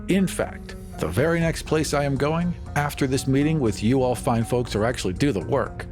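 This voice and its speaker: A man's voice: medium pitch, close to an everyday voice. A man playing a glib, persuasive colony boss in a tabletop game, assured and folksy like a salesman. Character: glib, persuasive male colony boss making big promises. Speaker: player character in a tabletop game.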